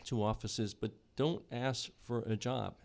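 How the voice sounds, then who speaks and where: A middle-aged man from New Jersey, talking in a casual interview in a measured way with many fillers and restarts. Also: medium pitch, steady tone, gravelly, darkish tone. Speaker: podcast guest, economic development board chair.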